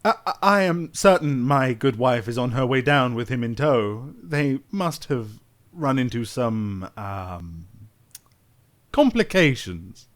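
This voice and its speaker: A man voicing an obsequious noble father who flatters and stammers. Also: mid-range voice, takes it slowly.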